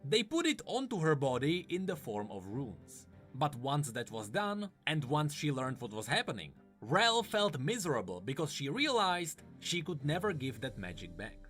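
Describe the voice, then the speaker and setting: A man's voice: a little high, very animated, normal speed, hardly any pauses, a bit bright, soft background music. A man giving scripted explanatory commentary in the style of a video narrator. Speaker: lore explainer.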